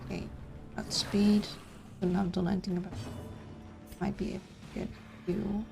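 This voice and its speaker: A woman, streaming a game and thinking aloud in a casual, halting way. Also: mid-range voice, flat delivery, creaky, over music. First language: Dutch. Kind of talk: casual live-stream commentary.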